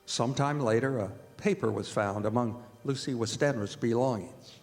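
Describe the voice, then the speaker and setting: A man's voice: medium pitch, normal speed, a little mellow, close to an everyday voice. An earnest doctor and devoted fiancé, played by a man, narrating a stage radio play. His medium voice echoes in a hall, with music behind. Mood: earnest, formal. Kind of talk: radio drama narration and dialogue.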